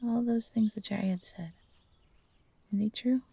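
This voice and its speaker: A woman voicing an earnest, gentle but defiant young fox-hybrid companion in an audio roleplay, speaking pleadingly and hesitantly. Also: medium pitch, fairly even intonation, measured pace, gravelly, breathy edge, no room sound.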